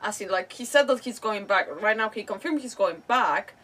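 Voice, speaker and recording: A woman's voice: medium pitch, animated, moderate pace, fairly bright tone, far from the mic. A woman live-streaming a game, chatting casually in a rambling way and often interrupting herself. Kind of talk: casual livestream chat and reactions over a game.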